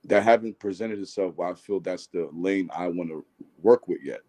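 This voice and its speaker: Deep baritone man, guest rapper on a casual talk show, low pitch, relaxed and reflective. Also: moderate pace. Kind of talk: casual interview chat.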